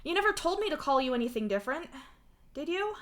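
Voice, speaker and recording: A woman's voice: fairly high pitch, normal speed, fairly bright tone, pitched above the performer's own voice, natural voice. A woman voicing an anxious, defensive troll protagonist in a story, protesting and pleading in a small voice.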